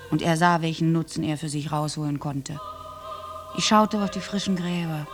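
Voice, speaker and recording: Actress doing a bitter haunted young woman from a war, halting radio drama monologue, music behind her. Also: low voice, steady tone, close to an everyday voice. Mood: haunted, grim. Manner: halting, intense, dropping into breathy whispers.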